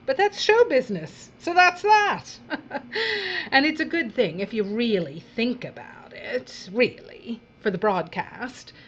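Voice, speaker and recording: A woman's voice: fairly high pitch, very animated, takes it slowly, highs cut off, a little hiss or hum. A woman playing a breezy, callous female television boss in an audio drama, theatrical and drawling, with condescending endearments. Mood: flippant.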